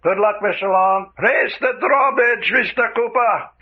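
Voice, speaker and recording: A man playing a courteous, coldly commanding villain who gives formal, measured orders in a radio drama. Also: high voice. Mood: coldly polite. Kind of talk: old-time radio drama acting. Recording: dull, noisy vintage-style radio sound.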